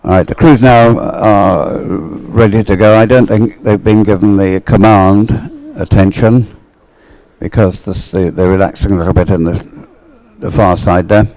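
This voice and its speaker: A man giving live sports commentary in an explanatory, conversational way, thinking aloud in short, halting phrases. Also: fairly low pitch, fairly even intonation, a little mellow, low-quality recording.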